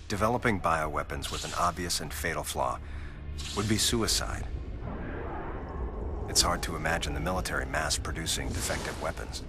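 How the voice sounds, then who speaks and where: An actor playing a grave, calm military commander in a sci-fi game, speaking in a measured, formal, authoritative way. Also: male voice, rumbling low. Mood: grave, analytical. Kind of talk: dramatic video game cutscene dialogue.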